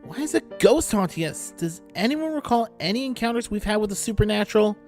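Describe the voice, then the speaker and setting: A man's voice: high-pitched, lively intonation, a little fast, a little mellow, soft background music. A man playing an anxious, questioning boy in an audio drama. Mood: alarmed.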